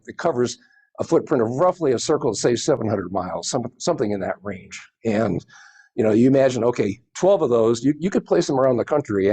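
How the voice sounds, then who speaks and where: Husky-voiced man giving an informal presentation. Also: mid-range voice, darkish tone. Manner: rambling, explanatory, hedging asides. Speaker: presenter at a space society meeting.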